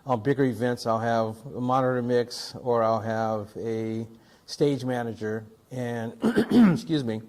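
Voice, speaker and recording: A man's voice: mid-range voice, measured pace, a little mellow. A man hosting a tech review show, talking casually and in a rambling way, often restarting and repeating himself as he unboxes gear. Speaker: audio and video production technician. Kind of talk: casual product unboxing talk.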